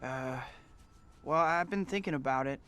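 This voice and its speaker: A man's voice: on the high side, normal speed, fairly bright tone, smooth, close to an everyday voice. An actor playing an earnest young male student who is apologetic and polite. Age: young adult. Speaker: student and pizza delivery worker.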